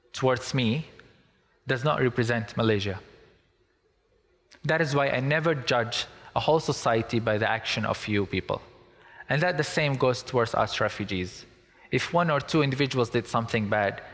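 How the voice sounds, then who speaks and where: A man's voice: medium pitch, animated, normal speed, fairly bright tone. A young man from Syria giving an earnest, emphatic public talk. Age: young adult. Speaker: speaker. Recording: long hall reverb.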